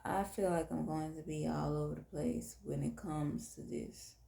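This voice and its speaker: A woman with a Southern US accent and a hoarse, tired voice, hosting an intimate late-night podcast monologue in deliberate, drawn-out phrases. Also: deep voice, steady tone, mellow, echoey room. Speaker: podcast host.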